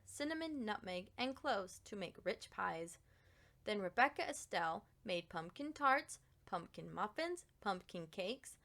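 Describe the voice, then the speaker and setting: A woman reading a children's picture book aloud as an expressive storyteller with dramatic, deliberate emphasis. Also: medium pitch.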